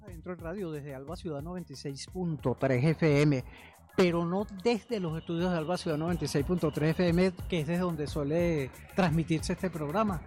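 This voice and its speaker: A man's voice: on the high side, normal speed, a little mellow. Chatty Venezuelan male radio host. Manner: informal, chatty, interjecting. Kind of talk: conversational radio interview from a book fair. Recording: music under the voice.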